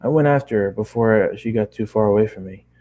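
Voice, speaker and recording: A man's voice: lowish voice, a little fast, dark tone. A tired man reading a story aloud as an amateur narrator, often stumbling and rereading phrases. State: tired, running out of steam. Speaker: amateur fan-fiction reader.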